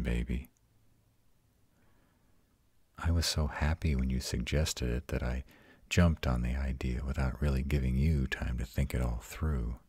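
Ancient male vampire, played by a man, intimate audio roleplay; bottomless bass, dry close sound, tender and reassuring. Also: no echo, heavily acted. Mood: gentle, reassuring. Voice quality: very deep, husky. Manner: soft, intimate, slow reassurance. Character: ancient arrogant male vampire tenderly comforting his mate. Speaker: voice actor.

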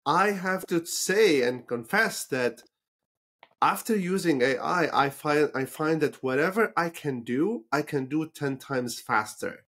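A man's voice: on the high side, expressive, measured pace, a bit bright, husky. A man from Lebanon whose first language is not English, hosting a podcast interview in a thoughtful way with fillers and restarts. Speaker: podcast host. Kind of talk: conversational interview.

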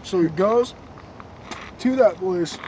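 A young man telling a creepy story in casual slang, recorded in a dark room on a camera a few feet away. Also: sits high, slow. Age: young adult. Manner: slangy, laid-back, joking. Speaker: co-host. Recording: noisy camera audio.

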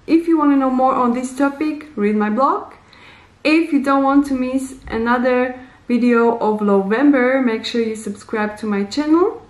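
A woman in her thirties giving deliberate, simply worded advice to camera in slightly non-native English, in a casual instructional vlog recorded in a small bare room. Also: high-pitched, echoey room. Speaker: vlogger, host.

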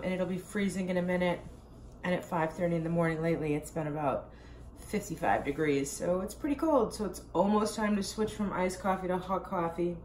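A woman in her forties, hoarse from a sore throat, chatting in a rambling, self-deprecating way in a casual video diary filmed in her home kitchen. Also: medium pitch, darkish tone, a little hiss or hum. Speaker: diet vlogger. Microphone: camera at arm's length.

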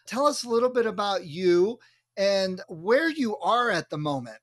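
A man's voice: high-pitched, lively intonation, normal speed. A man, hosting a podcast interview in a casual, conversational style. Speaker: podcast host.

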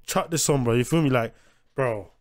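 A man's voice: mid-range voice, moderate pace. Young British man, casual slangy commentary. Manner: repetitive, emphatic, informal. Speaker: music reaction host.

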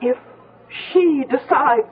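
Radio play casting: grave, fearful believer in vampires, unhurried delivery, thin muffled vintage broadcast. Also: female voice, high voice. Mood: ominous. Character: fearful, superstitious woman warning gravely of vampires. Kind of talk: 1970s radio drama acting.

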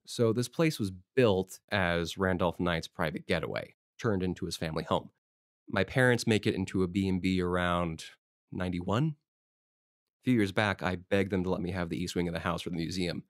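A man playing a low-voiced, chatty local historian. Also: normal speed, dry recording, studio quality, close to an everyday voice. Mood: friendly, casual. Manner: informal, rambling storyteller. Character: male small-town historical society president, eager, chatty local. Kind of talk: conversational audio drama monologue.

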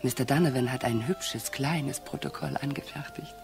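An actress playing a cool, mocking widow with a self-possessed, provocative manner in a radio crime drama. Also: female voice, rumbling low, moderate pace, vocal fry, over music. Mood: sarcastic. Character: cool, scornful, provocative widow of a murdered man.

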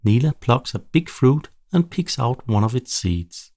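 A man narrating a children's bedtime story in a measured, animated storytelling delivery. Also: medium pitch, no room sound, clean studio sound.

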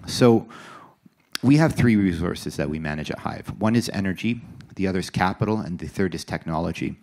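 A man in his thirties with a very low voice pitches his company from a large conference stage. He talks confidently into a handheld mic, dropping fillers and asking the room "right?" now and then. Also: expressive, fairly quick, vocal fry, darkish tone. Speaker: company executive presenting. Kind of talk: conference presentation.

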